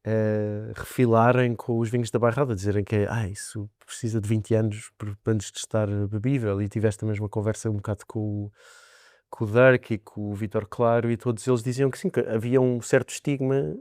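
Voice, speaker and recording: A man with a European Portuguese accent hosting a podcast, asking questions in a relaxed, hesitant way full of fillers. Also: lowish voice, a little fast, dry recording, clean studio sound. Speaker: podcast host.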